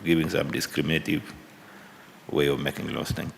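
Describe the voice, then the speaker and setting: A man questioning presenters at a parliamentary hearing, halting and probing, often restarting his sentences. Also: rumbling low, little pitch movement, vocal fry. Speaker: committee member questioning presenters. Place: large hearing room. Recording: long hall reverb, faint room noise.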